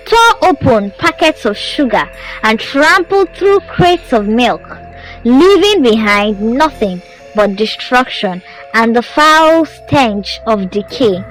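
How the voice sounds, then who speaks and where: Female folktale narrator, higher-pitched and very expressive, building suspense dramatically over background music. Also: low-quality recording. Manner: dramatic, suspenseful storytelling delivery. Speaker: storyteller. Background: music under the voice.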